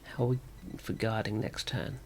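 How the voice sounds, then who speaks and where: A man with a sore throat and slightly rough voice, thinking aloud as he gives casual commentary on a card game. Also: fairly low pitch, fairly even intonation, normal speed, slight background noise. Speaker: gaming video host.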